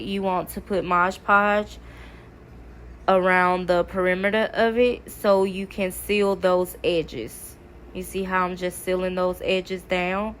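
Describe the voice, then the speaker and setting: A woman's voice: lowish voice, fairly bright tone. Woman, slightly stuffy cold voice, friendly how-to chatter, light background noise. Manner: chatty, addresses viewers as y'all. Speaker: craft video creator. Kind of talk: casual DIY tutorial chat.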